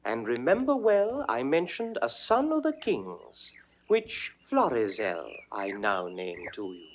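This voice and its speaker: An actor playing Time as a stately, ageless chorus, speaking verse to the audience in a solemn, measured, declamatory manner. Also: male voice, mid-range voice, wildly swooping pitch, a little hiss or hum. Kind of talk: 1960s classical verse recitation for audio drama.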